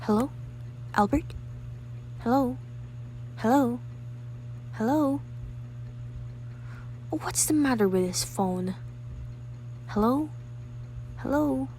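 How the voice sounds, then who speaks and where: Woman acting a high-strung, demanding invalid wife. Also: takes it slowly, long pauses, a little mellow, a little hiss or hum, low-quality recording. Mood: anxious, puzzled. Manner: querulous, imperious, highly strung. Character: nervous, demanding, self-pitying bedridden invalid wife. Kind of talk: old-time radio thriller acting.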